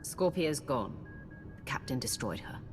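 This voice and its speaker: A principled commander in a video game. Her voice is low with lively intonation, firm and direct, in a reverberant space. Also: a little slow, over music, exaggerated voice. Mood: resolute.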